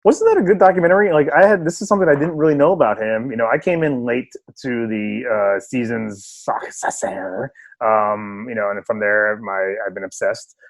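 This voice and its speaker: A man's voice: medium pitch, normal speed, no echo, rough recording. A man hosting a podcast remotely, chatting and joking with lots of fillers. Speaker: podcast host. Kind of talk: casual chat. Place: remote home setup.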